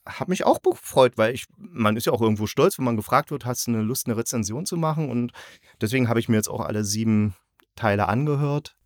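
A man's voice: mid-range voice, lively intonation, a little fast, no room sound, clean studio sound. A man hosting a podcast, chatting casually through a review in an informal, colloquial way.

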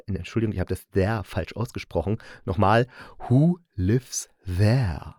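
Male podcast host, lowish voice, wry and playful reviewer, dry close recording. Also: measured pace, a little mellow, no room sound. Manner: jokey, self-ironic. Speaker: podcast host and audio drama critic.

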